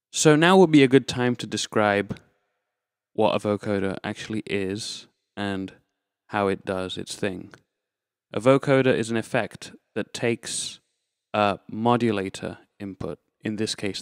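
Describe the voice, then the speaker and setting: Male tutorial presenter: fairly low, measured, explains step by step, very dry close-mic sound. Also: vocal fry, clean tone, no room sound. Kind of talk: instructional product demonstration, explaining step by step. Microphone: external dynamic microphone, close.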